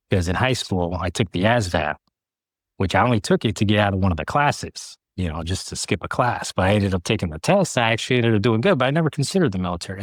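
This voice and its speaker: A man in his late thirties from California, telling his own career story in an interview, earnestly and in short measured phrases. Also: sits low, no echo. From California, United States. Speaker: air traffic controller, podcast guest.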